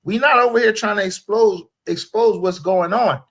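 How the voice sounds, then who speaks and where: A man's voice: high-pitched, moderate pace, a bit bright. A man in his fifties chatting casually as a panelist on a livestream. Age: 50s. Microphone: streaming call.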